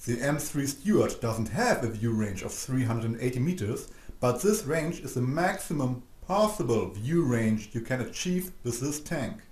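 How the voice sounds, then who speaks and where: A man reading a scripted explanatory narration for an instructional video, measured and didactic, addressing the listener directly. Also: mid-range voice, expressive, a little mellow. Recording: roomy, echoing voice-over.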